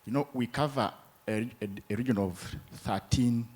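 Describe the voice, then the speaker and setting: A man from Uganda who works in hospital administration, speaking from the floor at a conference in a formal, measured, methodical way. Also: medium pitch, a little mellow, slightly rough. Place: conference room.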